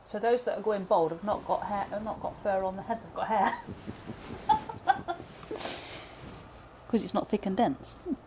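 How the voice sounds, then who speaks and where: A chatty woman from Britain, thinking aloud through a live wood-burning lesson with plenty of ums and restarts. Her voice sits at an ordinary middle pitch and is getting slightly hoarse. A faint background hiss runs under it. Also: big pitch swings, measured pace, pauses often. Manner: chatty, self-deprecating, thinks aloud with many fillers. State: voice going hoarse. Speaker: pyrography instructor. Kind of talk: live tutorial chat while working.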